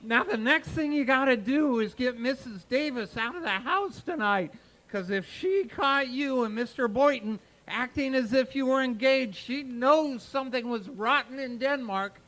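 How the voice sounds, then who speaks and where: A cocksure teenage schoolboy with a very high-pitched voice. He is breezy and slangy, a helpful schemer in an old-time radio comedy. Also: moderate pace, bright, forceful tone, rough recording. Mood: breezy, eager. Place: live stage performance at a radio history event.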